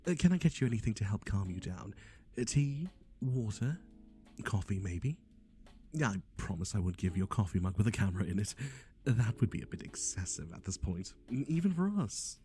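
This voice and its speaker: A man's voice: on the low side, big pitch swings, normal speed, dark tone, faint music behind. A man playing a chatty, cheerfully creepy matchmaker in an ASMR roleplay, with breezy, mock-reassuring, slightly hesitant patter. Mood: solicitous, wry. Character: chatty, cheerfully creepy male yandere dating agency host.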